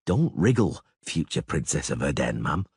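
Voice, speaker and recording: A male narrator voicing a weary grown monster hunter who speaks in a curt, dry, gruffly sarcastic way. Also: very deep voice, big pitch swings, normal speed, a little mellow, dry recording, pitched below the performer's own voice, full character voice. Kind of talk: audiobook character voice.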